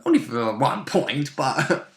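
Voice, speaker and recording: A man's voice: medium pitch, sing-song, slow pace, fairly bright tone. A man in casual chat as a tabletop player, jokingly chipping in with comments. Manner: jokey, chipping in. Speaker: player and co-host discussing a tabletop game. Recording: roomy, echoey home recording.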